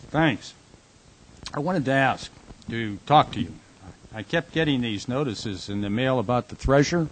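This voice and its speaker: An actor playing a tormented, haunted man in a radio drama, speaking in a brooding, halting, intense way. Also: mid-range voice, lightly acted, poor audio. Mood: troubled, searching. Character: Jack, a tormented man haunted by falling dreams. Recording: hissy amateur recording.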